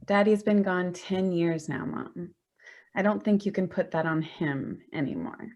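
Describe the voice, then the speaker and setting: An actress playing Danielle, a sardonic grown daughter, in a staged play reading. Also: female voice, lowish voice, measured pace, darkish tone, highs cut off, lightly acted. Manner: sardonic, wry.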